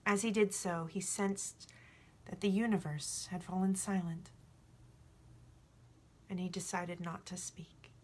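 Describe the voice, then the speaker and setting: A woman reading a story aloud in an expressive, informal way, like a home storyteller. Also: fairly low pitch, unhurried, long pauses. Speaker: storybook reader. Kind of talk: book read aloud with informal asides.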